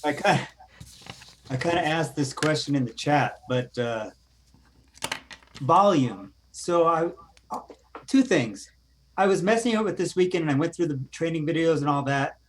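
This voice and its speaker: A man joining a video call webinar to ask a casual, slightly unsure question about the software. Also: a little high, expressive, normal speed, long pauses, a little hiss or hum. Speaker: caller asking a question. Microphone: computer mic on a video call.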